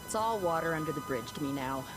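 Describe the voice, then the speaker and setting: Young female mercenary, low pitch, loyal, world-weary, casual delivery, video game, loud background music. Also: expressive, fast, rough recording. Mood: serious, resolute.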